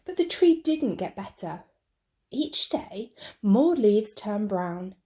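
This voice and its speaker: A woman reading a picture book to children in an expressive, measured storytelling style. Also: medium pitch. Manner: measured, deliberate phrasing.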